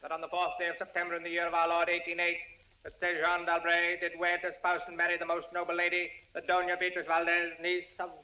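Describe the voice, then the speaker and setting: An actor playing an officious Inquisition court clerk, reciting formally in 1940s radio drama style. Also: male voice, sits high, completely flat delivery, fast talker, close to an everyday voice. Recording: thin, tinny 1940s radio broadcast.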